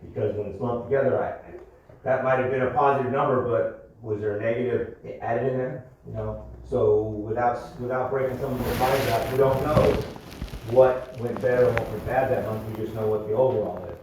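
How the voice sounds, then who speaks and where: A man's voice: mid-range voice, steady tone, a little fast. Male board member, analytical and questioning in discussion. Distant pickup, faint noise behind. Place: indoor public board meeting room. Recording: echoey meeting room, distant mic, faint noise.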